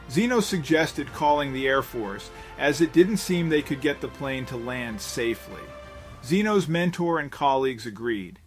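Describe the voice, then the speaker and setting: A man narrating a scripted suspense story in a dramatic storytelling manner. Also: medium pitch. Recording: voice-over with music underneath. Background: background music.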